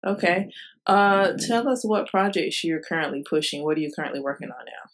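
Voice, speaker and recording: A woman hosting a casual interview, upbeat and quick with brief replies like "okay" and "right". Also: deep voice, lively intonation. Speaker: interviewer, host.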